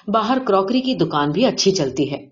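A woman's voice: mid-range voice, sing-song, natural voice. A woman reading the part of a rich, boastful male gambling-den boss in a story, showy, blunt and colloquial. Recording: dull lo-fi audio.

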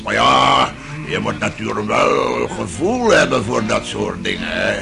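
Radio play role: lecherous old drunkard father, very creaky, slow and rambling, swinging widely in pitch. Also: full character voice. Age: older. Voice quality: gravelly, creaky. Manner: rambling, leering, exclaiming. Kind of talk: radio drama acting. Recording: radio play with music underneath.

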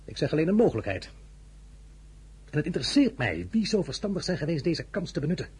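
An actor playing an imperious, ambitious French ruler in a Dutch radio play, speaking with a Dutch accent, commanding and then softly condescending. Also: male voice, a little high, big pitch swings, fast, slightly rough, heavily acted. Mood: probing, amused. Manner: commanding, shifting to soft intimate condescension.

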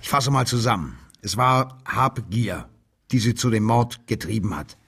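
An actor playing a cold, bullying German police commissioner in a radio drama, interrogating with insistent, deliberate emphasis. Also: male voice, fairly low pitch, fairly bright tone, husky. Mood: cold, coaxing. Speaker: police detective.

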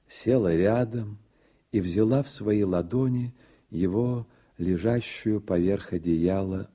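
A man narrates a 1980s radio drama in a low, very dark and somewhat husky voice. His delivery is literary storytelling, with faint music behind it.

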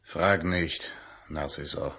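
An actor playing a sullen, suspicious jungle hunter with brooding, accusing delivery in a German radio play. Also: male voice, extremely low pitch, steady tone, slow pace, sharp tone, exaggerated voice.

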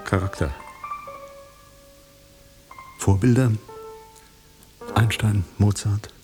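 A wry, brooding radio-play character with a low, dark voice. His lines are dry and understated, delivered as intimate dialogue. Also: fairly even intonation, drawn-out words, soft background music, rough recording. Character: wry, brooding man teasing his old girlfriend. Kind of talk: German radio drama dialogue.

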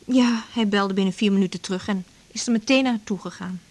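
An actress playing a sympathetic, gently curious colleague with a husky voice in a naturalistic radio drama. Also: female voice, mid-range voice, a little fast, close to an everyday voice. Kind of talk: naturalistic 1980s Dutch radio drama acting.

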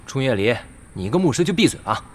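A man acting in an audio drama as a snappy, bantering young gamer with an animated delivery. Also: mid-range voice, fast, slight background noise, close to an everyday voice. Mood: bantering, irritated.

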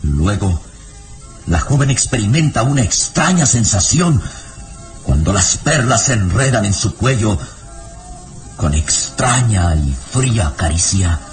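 A man's voice: lowish voice, very animated, a little slow. A man narrating a radio drama in an ominous storytelling manner. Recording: radio drama with music bed.

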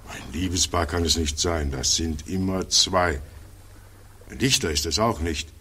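Dry detached onlooker musing, real slow deep voice, old 1940s radio drama. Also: male voice, sharp tone. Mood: curious, musing. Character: detached, sardonic male onlooker musing by the river. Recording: noisy vintage 1940s radio recording.

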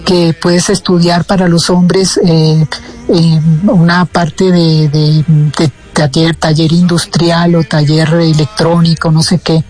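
A woman's voice: on the low side, flat delivery, takes it slowly, a little mellow, faint music behind. A woman from Argentina with a slight Buenos Aires accent, a radio interview guest telling her story with many hesitant restarts. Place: radio studio.

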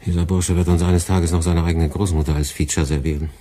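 Actor as an ironic, lecturing boss, very deep, dark, husky; radio play. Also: male voice, flat delivery, quick pace, slight background noise, lightly acted. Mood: sardonic. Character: sardonic middle-aged male boss, lecturing. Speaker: department head.

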